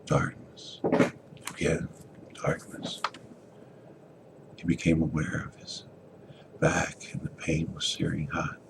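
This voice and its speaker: A man giving a dramatic reading of a story, theatrical, moving between hushed and forceful delivery. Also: completely flat delivery, takes it slowly, pauses often, noisy background. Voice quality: very deep, gravelly. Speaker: author reading a short story.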